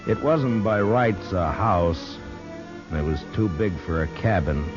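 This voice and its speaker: A steady, plain-spoken American frontier marshal in a vintage radio western. His voice is deep and his delivery grave and measured, with varied inflection. The recording is muffled, with music behind it. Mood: brooding. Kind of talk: 1950s American radio drama acting and first-person narration.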